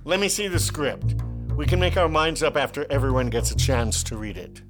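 A man's voice: mid-range voice, animated, moderate pace, close to an everyday voice. An actor playing a theatrical, skeptical male stage performer in a radio play. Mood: insistent. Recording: faint music behind the voice.

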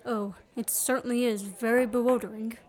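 A woman acting the part of a brisk, impatient man on the run in an amateur radio play. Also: on the high side, fairly even intonation, a little mellow, slight background noise, close to an everyday voice. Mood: earnest, urgent. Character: Richard Hannay, wronged male fugitive, brisk and impatient. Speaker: student actor.

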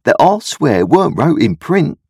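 Guy doing a simple kindhearted village blacksmith in an audiobook, earnest n rustic, bit husky, pitch goes all over. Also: sing-song, moderate pace, warm tone, dry recording, clean studio sound, full character voice. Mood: reassuring, reflective. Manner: rustic ungrammatical speech, earnest, ruminative. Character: kindly, slow-reasoning uneducated country blacksmith, adult man.

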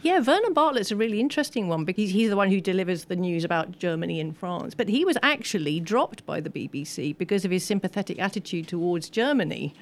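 A woman's voice: lowish voice, lively intonation, fast talker, hardly any pauses. A woman speaking as an expert guest at a film screening, talking informally and analytically on a panel. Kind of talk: informal post-screening Q&A commentary. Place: live event at a film screening.

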